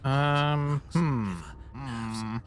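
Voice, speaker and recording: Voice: mid-range voice, steady tone, drawn-out words. A video game streamer doing casual, wry let's play commentary, reading choices aloud and humming while deciding.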